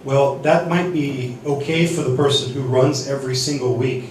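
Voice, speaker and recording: A man teaching a seminar on church sound desks, speaking haltingly with many restarts and fillers. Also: medium pitch, fairly even intonation, a little hiss or hum. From United States. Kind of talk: teaching sound-desk basics. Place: church hall. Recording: echoey hall from far off.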